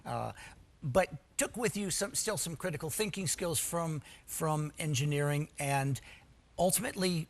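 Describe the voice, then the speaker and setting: A man hosting an interview, asking long questions with a wry, gently teasing manner. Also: on the high side, normal speed, gravelly.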